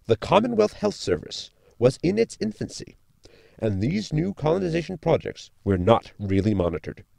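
An actor playing an erudite, pedantic crew member in a science fiction audio sitcom, speaking in a formal, lecturing way. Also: male voice, on the high side, very animated, normal speed, creaky, warm tone, dry recording, full character voice. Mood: dry moralizing.

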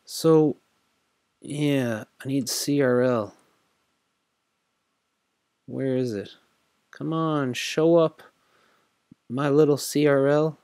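A man at his desk talking through a screen recording in a relaxed, rambling way, full of ums and half-finished thoughts. He sounds tired. His voice sits at an ordinary middle pitch, and the sound is close and dry. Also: very slow, long pauses, no echo. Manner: rambling, thinks aloud with many fillers. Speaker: crypto tutorial host. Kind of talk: casual screen-recorded walkthrough.

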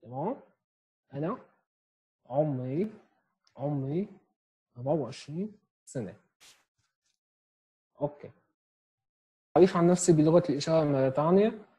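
A man's voice: on the high side, lively intonation, normal speed, pauses often, dark tone, slightly rough, rough recording. A man in his twenties with a Syrian Arabic accent gives an online sign language lesson, explaining step by step and often checking in with "okay?". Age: 20s. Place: small room at home, indoors. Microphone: earphone headset mic on a video call.

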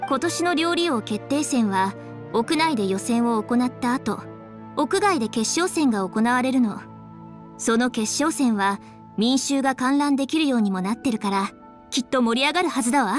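Actress as a high-voiced, composed young official. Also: female voice, animated, moderate pace, soft background music, natural voice. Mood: confident, pleased. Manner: articulate, businesslike, polite. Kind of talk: Japanese video game voice acting.

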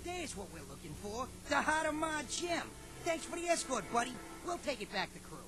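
A man's voice: very high-pitched, very animated, normal speed. A man voicing a cocky, officious little henchman creature in a video game. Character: squeaky little male henchman creature, cocky demolition expert. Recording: loud game music behind.

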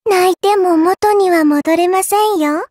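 A woman's voice: very high-pitched, unhurried, a little mellow, no echo. A woman voicing a dainty, childlike little girl in a mobile game, polite and prim in speech with a teasing, cruel streak. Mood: menacing, playful. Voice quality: childlike, cutesy. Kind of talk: Japanese mobile game character voice lines.

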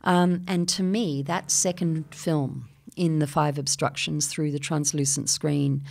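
An older Australian woman, a filmmaker appearing as a guest, talking in an animated way and gesturing in a small studio with a broadcast mic. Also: low-pitched, unhurried, vocal fry. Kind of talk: casual interview chat. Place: small studio set made up as a video store. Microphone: broadcast mic on a boom arm.

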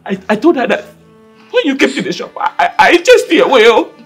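Distraught middle-aged nigerian mum, wailing and begging, voice all over the place, music faintly under. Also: female voice, high-pitched, sing-song, brisk, slightly rough, heavily acted. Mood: desperate wailing. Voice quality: tearful, wailing delivery. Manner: pleading, repetitive. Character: desperate, humble mother begging for her missing daughter. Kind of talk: emotional film drama acting.

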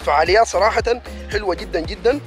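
Rapid-talking man vlogging. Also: sits high, music in the background. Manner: rapid, enthusiastic, addresses viewers directly. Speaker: vlogger reviewing a city event. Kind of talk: casual chat to viewers.

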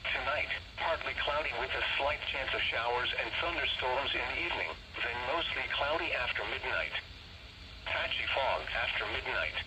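A man's voice: medium pitch, expressive. Noisy weather-radio male announcer. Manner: formal, measured announcing. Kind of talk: scripted weather bulletin reading. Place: played through a small weather radio indoors. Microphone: camera near the radio speaker. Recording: noisy weather radio speaker, picked up by camera.

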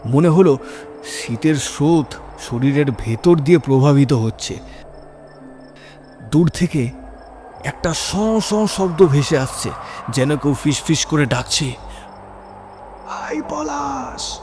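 A man's voice: a little high, expressive, slow, long pauses, a little mellow, a little hiss or hum. A man narrating a scripted first-person horror story in a suspenseful, dramatic delivery. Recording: music behind the voice.

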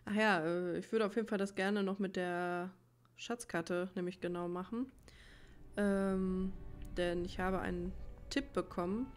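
A woman's voice: mid-range voice, fairly even intonation, measured pace. A woman giving casual gameplay commentary, rambling and thinking aloud as she plays. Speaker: let's player. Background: game music.

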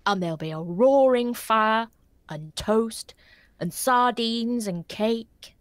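A man reading aloud, voicing a nervous, courtly male faun in a high, lifted voice with fretful, old-fashioned politeness. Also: takes it slowly, dry recording, clean studio sound. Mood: coaxing. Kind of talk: audiobook character voice.

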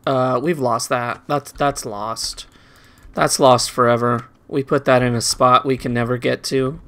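A man's voice: mid-range voice, fairly even intonation, unhurried, a bit bright. Rambling male gamer with a crackly voice. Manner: rambling, muttering to himself, playful. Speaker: video game streamer. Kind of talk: casual gameplay commentary.

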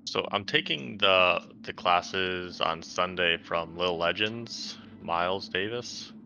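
A man chatting casually as a tabletop player, speaking in a halting, hesitant way. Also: low voice, bright, forceful tone. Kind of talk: casual chat. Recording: dull lo-fi mic, background noise.

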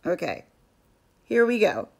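A woman in her thirties, a vlogger and mother, chatting casually in a scratchy, hoarse voice, rambling and laughing often. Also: medium pitch, sing-song, measured pace, darkish tone. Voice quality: scratchy, gravelly voice. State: hoarse from allergies. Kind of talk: casual chat to camera.

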